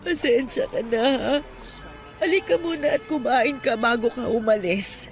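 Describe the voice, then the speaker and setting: A woman playing an elderly grieving mother in a radio drama, speaking softly and tremulously. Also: a little high, normal speed, faint music behind, natural voice. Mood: warm. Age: older.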